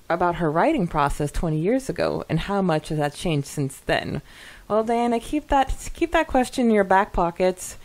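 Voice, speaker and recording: A woman co-hosting a podcast, chatting casually and reading comments aloud in an enthusiastic, halting way with repeated starts. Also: medium pitch. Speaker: podcast co-host.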